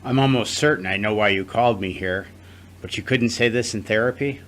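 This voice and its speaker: A man's voice: fairly low pitch, fairly quick, a bit bright, close to an everyday voice. A man acting in an audio drama as a guilty, subdued estranged husband. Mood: guilty, uneasy.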